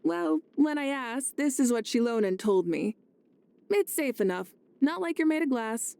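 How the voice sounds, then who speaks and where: A woman voicing a composed, confident warrior goddess in a video game, speaking in a measured, earnest and self-assured way. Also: high-pitched, lively intonation, vocal fry, darkish tone, no room sound, full character voice. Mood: playful, casual. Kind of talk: scripted video game character voice lines.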